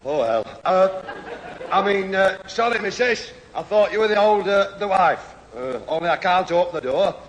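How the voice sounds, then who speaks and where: Grumbling Northern working man, highish voice. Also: expressive, moderate pace. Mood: flustered. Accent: Northern English. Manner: grumbling, blunt, flustered. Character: gruff, grumbling middle-aged working-class Northern husband. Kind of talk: 1970s radio sitcom acting. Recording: echoey old radio hall, faint hiss.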